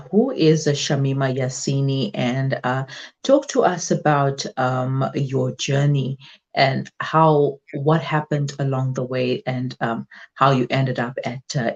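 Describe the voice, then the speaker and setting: A woman hosting a midday radio talk show, relaxed and leaning on filler sounds. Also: a bass voice, measured pace, lo-fi sound. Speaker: radio host.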